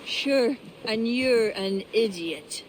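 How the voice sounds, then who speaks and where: An actress with an Irish accent playing a witty, mischievous court poetess with a sly, teasing manner. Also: female voice, a little high, slight background noise, rough recording. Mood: scornful. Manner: sly, teasing and quick-tongued.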